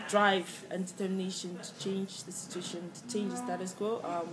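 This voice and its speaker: Earnest young woman from Cameroon. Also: medium pitch. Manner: earnest, reflective, many fillers and restarts. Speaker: interviewee. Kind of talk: personal story in an interview. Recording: clear background noise.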